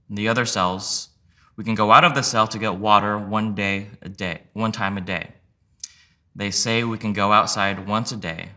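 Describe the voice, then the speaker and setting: A man reading a sworn legal declaration aloud in a measured, plain, steady way. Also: fairly low pitch. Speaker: reader of sworn testimony.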